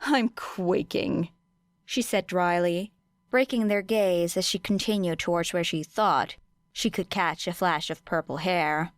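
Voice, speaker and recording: A woman's voice: on the low side, steady tone, measured pace, clean tone, dry recording. Female audiobook narrator, clean resonant voice, dry sound, scripted storytelling. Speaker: audiobook reader of fan fiction.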